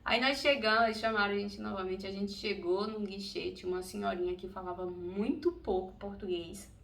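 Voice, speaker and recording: A woman's voice: medium pitch, brisk, creaky. Chatty Brazilian woman telling a story. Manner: chatty, animated storytelling, laughs often. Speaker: vlogger. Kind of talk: casual storytelling vlog. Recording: distant mic, faint ambient noise.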